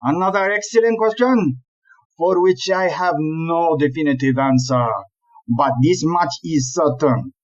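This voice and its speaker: An actor playing an exasperated, blustering chief police inspector in a comic audio play, with an emphatic, deliberate, ranting delivery. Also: male voice, on the high side, highs cut off, close to an everyday voice. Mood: indignant explaining.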